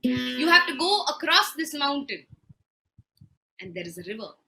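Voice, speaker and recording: A woman voicing a stern, imperious sage husband who gives slow, deliberate orders and flies into shouting rage. Soft guitar plays behind her. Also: sits high, sing-song, vocal fry, exaggerated voice, low-quality recording. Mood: commanding.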